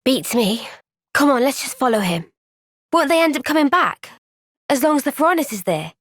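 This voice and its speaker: A woman playing a young, thoughtful and caring warrior heroine in a video game, speaking in a measured, earnest, gentle way. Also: sits high, sing-song, no room sound, studio quality, heavily acted. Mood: conversational. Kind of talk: video game cutscene voice acting.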